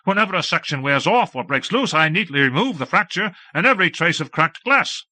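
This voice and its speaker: Fussy male machine-keeper, put on by a man, high-pitched and defensive, with lively bright delivery. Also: brisk, dry recording, exaggerated voice. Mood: protesting. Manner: high, protesting, eager to excuse himself.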